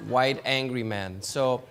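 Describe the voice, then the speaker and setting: A man's voice: medium pitch, vocal fry, fairly bright tone, a little hiss or hum. A man moderating a conference panel, introducing the next speaker with many restarts. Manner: halting, many restarts and light laughs. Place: conference hall.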